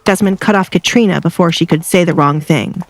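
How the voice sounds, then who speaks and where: A woman's voice: fairly low pitch, fairly quick, a little hiss or hum. A woman reading scripted audiobook narration for a dramatic romance, as the narrator.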